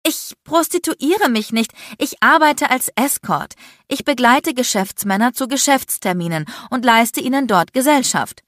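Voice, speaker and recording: A defiant young woman in an audiobook drama, put on by a female narrator. Her voice is pitched up, indignant and hurt, and she argues hotly in a dry, close recording. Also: big pitch swings, normal speed, few pauses, no room sound, close to an everyday voice. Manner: heated, indignant, provoking. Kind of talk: dramatic audiobook character acting.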